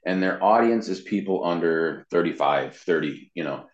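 A man's voice: deep voice, moderate pace, roomy sound, muffled recording. A man giving casual, explanatory answers as an interview guest, stressing his points by repeating them. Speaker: interview guest, web marketing consultant and voice actor.

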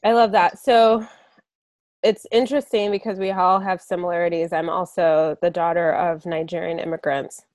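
A woman's voice: lowish voice, flat delivery, moderate pace, a little mellow, no echo. Podcast host woman, dry close sound. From United States. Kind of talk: casual podcast chat.

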